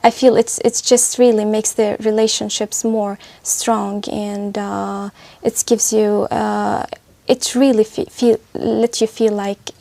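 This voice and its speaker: Hesitant woman w a dark flat voice, keeps restarting, dry room. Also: medium pitch, takes it slowly, creaky, no echo. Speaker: test candidate. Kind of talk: spoken exam answers.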